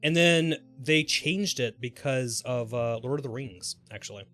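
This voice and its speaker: A man's voice: medium pitch, unhurried, a bit bright. Rambling male game streamer over music. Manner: rambling, speculative, informal. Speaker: game livestreamer. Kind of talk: casual live gaming stream chat. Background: background music.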